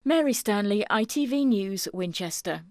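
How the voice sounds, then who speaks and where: A woman's voice: medium pitch, expressive, normal speed, a little mellow, no room sound, clean studio sound. A woman narrating a scripted television news report.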